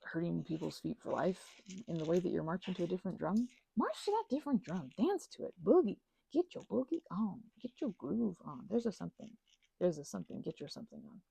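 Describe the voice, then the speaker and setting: A woman in her thirties giving a casual, playful ASMR ramble with emphatic, slangy phrasing and a husky, breathy voice with vocal fry. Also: lowish voice, very animated, a little fast, mellow, no room sound. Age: 30s. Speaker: ASMR channel host.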